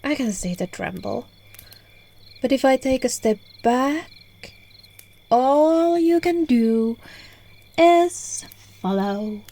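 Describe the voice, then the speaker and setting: A woman's voice: sits high, lively intonation, mellow, a little hiss or hum, exaggerated voice. A woman voicing a seductive, teasing, controlling witch in an ASMR roleplay, coaxing the listener, recorded on a phone microphone. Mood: amused, menacing. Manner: slow, coaxing, breaking into whispers and giggles.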